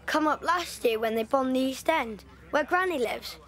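Woman doing a thoughtful evacuee girl from london, high voice, reflective, radio play. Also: animated, moderate pace, fairly bright tone. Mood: recalling. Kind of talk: audio drama acting.

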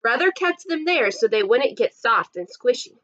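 A woman's voice: fairly high pitch, big pitch swings, moderate pace, fairly bright tone, dull audio. A woman in her thirties, a kindergarten teacher, reading a storybook expressively to young children. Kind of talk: read-aloud storybook narration for young children. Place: small indoor room set up as a classroom.

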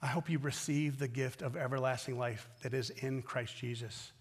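An American man in his fifties preaching a sermon as a pastor, earnest and emphatic, with deliberate pauses and repetition. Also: medium pitch, steady tone, slightly rough, in a large hall. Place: large indoor church auditorium stage at a wooden pulpit.

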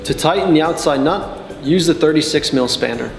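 A man in his twenties narrates a training guide in a measured, methodical way. His voice sits a little on the high side for a man. The sound is clearly echoey, with music playing behind him. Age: 20s. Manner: measured, step-by-step explaining. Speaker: technical support and customer trainer. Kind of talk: scripted instructional narration. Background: background music.

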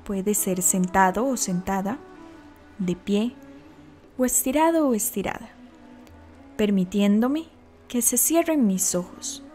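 A woman narrating a scripted guided meditation in a calm, deliberate, instructional manner. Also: medium pitch, faint music behind.

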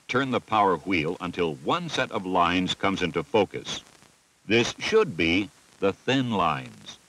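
A deep-voiced American man reads scripted instructional narration for a training film. His delivery is methodical and instructive, with a lively rise and fall that sets off each step. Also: poor audio. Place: voice-over recording.

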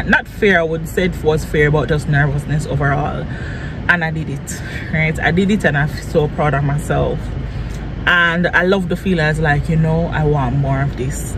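A man talking casually and earnestly in a motivational vlog, repeating phrases for emphasis. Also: on the high side. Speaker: small business vlogger and design trainer. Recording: clear vehicle and outdoor noise.

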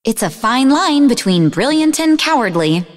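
Sarcastic young game girl, sharp tongue, really animated delivery, big echoey hall sound. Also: on the high side, moderate pace. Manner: sarcastic, mocking, snappy. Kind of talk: video game voice acting. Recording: long reverberant hall echo.

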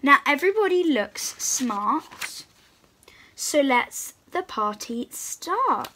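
A young girl, presenting to little children in an excited, encouraging way and reading picture books aloud. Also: sits high, takes it slowly, a little hiss or hum. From England. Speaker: host of a children's read-aloud channel. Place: small room at home, indoors.

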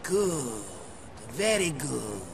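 A grave old mafia elder in a game cutscene. The voice is deeply creaky and crawls along solemnly, swinging widely in pitch over clear ambient noise. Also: male voice, big pitch swings, exaggerated voice. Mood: solemn, satisfied. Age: older. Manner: measured, drawn-out, solemn. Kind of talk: video game cutscene acting.

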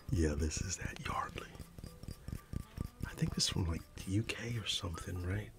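A middle-aged man doing an ASMR roleplay, whispering and soft-spoken, reminiscing unhurriedly with quiet chuckles. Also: low-pitched, creaky. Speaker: ASMR creator. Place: dim indoor room. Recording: soft music in the background. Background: music.

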